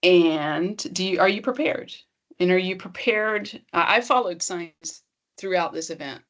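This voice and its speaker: A woman's voice: lowish voice, a little slow. A woman presenting an online accessibility workshop in a conversational, encouraging way, speaking straight to her audience.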